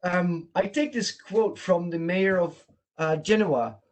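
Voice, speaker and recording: A man's voice: high voice, takes it slowly. Dutch-accented man giving a training talk. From Netherlands. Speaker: presenter and trainer. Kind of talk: online training presentation. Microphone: video call.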